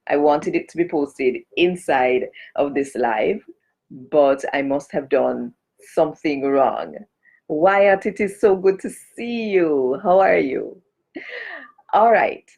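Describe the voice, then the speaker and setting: Slow, gravelly-voiced woman. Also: low-pitched, animated, warm tone. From Jamaica. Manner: chatty, greets and addresses viewers directly, gives blessings. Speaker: cultural advocate hosting a live stream. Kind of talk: casual live-stream chat.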